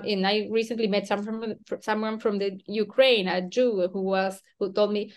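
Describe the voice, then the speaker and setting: A woman from Peru, an author interviewed about her book, speaking thoughtfully with frequent restarts, hedges and soft laughs. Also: mid-range voice. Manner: hesitant, self-questioning, with many restarts and soft laughs. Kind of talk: thoughtful academic conversation.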